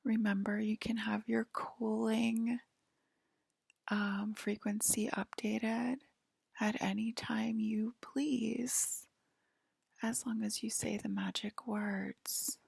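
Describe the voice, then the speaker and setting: A woman softly whispering an ASMR roleplay to the listener as a polite, attentive alien abductor, pausing often. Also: fairly high pitch, flat delivery, gravelly, slightly rough, no echo. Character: polite, attentive female alien abductor queen acting as medical examiner. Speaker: ASMR performer.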